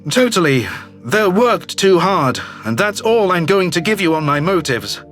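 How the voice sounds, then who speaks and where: A man's voice: high voice, animated, moderate pace, a bit bright, faint music behind, voice pushed down. A man voicing a brash, slick alien governor who talks with glib self-assurance, in a sci-fi audio drama. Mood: breezy, confident. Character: brash, slick alien politician, newly elected male governor. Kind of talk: audio drama character reading.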